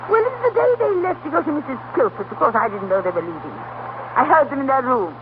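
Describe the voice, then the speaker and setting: A fussy busybody aunt in old radio drama style, self-important and theatrical. Her very high voice swoops up and down over a thin, noisy broadcast sound. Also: sing-song, fast, phone-quality sound. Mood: gossipy, indignant. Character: fussy, gossiping, self-satisfied middle-aged aunt. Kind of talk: 1930s radio drama acting.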